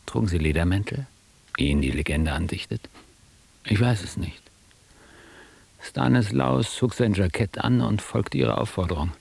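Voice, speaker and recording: A man's voice: fairly low pitch, animated, moderate pace, gravelly, pauses often, a little mellow, breathy edge, no echo, heavily acted. A man playing a wry, literary narrator and interpreter in a radio drama, speaking with irony. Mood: wry, reflective. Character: wry male interpreter and narrator looking back on friends.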